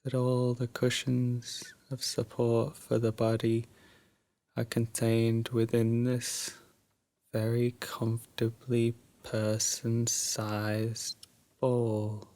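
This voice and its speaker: A man guiding a sleep meditation in a soft, drowsy, soothing voice with long pauses, at times breathy and close to a whisper. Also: medium pitch, completely flat delivery. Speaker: meditation guide. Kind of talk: guided sleep meditation, Yoga Nidra.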